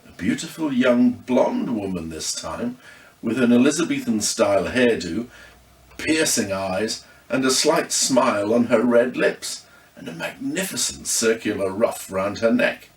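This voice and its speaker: An English man reading his own children's ghost story as expressive, scripted narration. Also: mid-range voice, a little slow. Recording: roomy echo, distant microphone.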